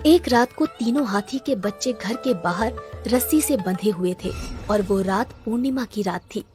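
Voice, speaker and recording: A woman's voice: medium pitch, moderate pace, a little mellow, faint music behind. A woman storyteller giving scripted narration for a children's story.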